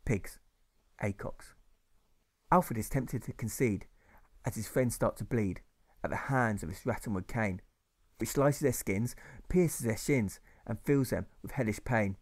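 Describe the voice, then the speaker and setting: A man reading his own rhyming novel aloud, in a measured, rhythmic narration. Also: medium pitch, a little mellow.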